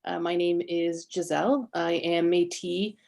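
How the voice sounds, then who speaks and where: A middle-aged woman, an activist speaking as a panel guest and introducing herself, haltingly searching for words. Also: lowish voice, steady tone, creaky, darkish tone. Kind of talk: personal introduction on an online panel.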